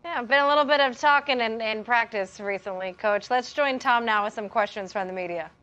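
A woman hosts a sports studio show, her voice a little higher than most. She talks smoothly and conversationally as she asks her questions. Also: a little fast, pushed and bright, lo-fi sound. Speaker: studio host. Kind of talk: broadcast hosting.

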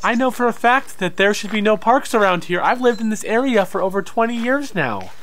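An actor, a man, playing an excitable, defensive alien enthusiast out walking a dog in an audio drama. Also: high voice, brisk, a little hiss or hum. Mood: alarmed, defensive. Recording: music behind the voice.

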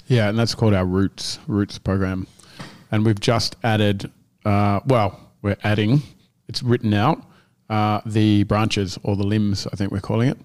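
A man's voice: fairly low pitch, fairly even intonation, measured pace, a little mellow. A man co-hosting a casual gym podcast, rambling and often restarting as he searches for words. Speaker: gym owner and podcast co-host.

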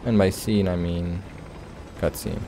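A man's voice: sits low, steady tone, measured pace, noisy background. A man giving casual, playful video game commentary, joking and muttering reactions as he plays. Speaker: Let's Play host. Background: video game sounds.

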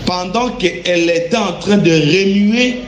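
A man telling a religious testimony in deliberate, emphatic, halting phrases. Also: high-pitched. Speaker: guest giving a religious testimony. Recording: echoey room with faint background noise.

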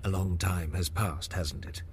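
Low-voiced, wry middle-aged diplomat acted by a man. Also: fairly even intonation, measured pace, slight background noise. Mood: teasing, genial. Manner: teasing, chuckling, conversational. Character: wry, genial, graying male old friend and diplomat. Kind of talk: audio drama acting.

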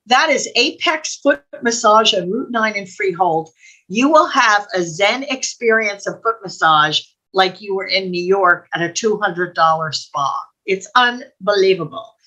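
Bright-voiced older American woman. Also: medium pitch, expressive, unhurried, low-quality recording. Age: older, 60s or above. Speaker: co-host. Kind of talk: casual chat. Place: indoor room at home, video call. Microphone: computer mic on video call.

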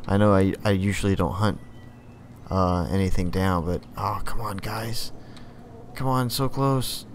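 A man with a lowish voice talks slowly through his strategy game, snapping brief orders at units and cursing when things go wrong. His throat sounds worn, and he clears it often. Steady background noise sits under the recording. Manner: barks quick orders at game units, swears freely. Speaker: let's play host. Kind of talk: casual live gameplay commentary.

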